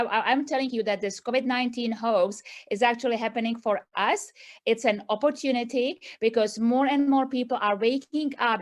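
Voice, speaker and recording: A middle-aged woman from Czechoslovakia with a Czech accent, speaking earnestly to a group as the founder of an advocacy movement and often adding "right?" to her points. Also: on the high side. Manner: earnest, repeats points with tag questions. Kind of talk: speech to a group meeting.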